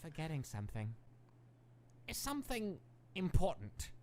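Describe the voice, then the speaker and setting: An excitable skeleton monster played by a woman with wildly animated pitch swings. The character is pompous and dramatic, quick to get defensive, speaking grandly in a fan comic dub style. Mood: subdued, distracted. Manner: theatrical, grandiose wording.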